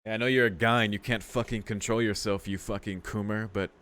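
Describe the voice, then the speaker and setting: A man's voice: on the low side, fairly even intonation, brisk, fairly bright tone. A man hosting a let's play, reading a visual novel aloud with informal, amused commentary. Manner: amused, sweary, informal asides.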